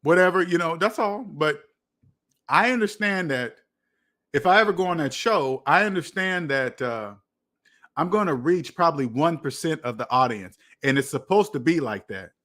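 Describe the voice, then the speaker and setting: American male livestream host, slightly high voice, casual and rambling, circles back on his phrases. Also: animated, normal speed, bright, forceful tone. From United States. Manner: rambling, repeats phrases for emphasis. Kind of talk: casual livestream chat, answering viewer comments.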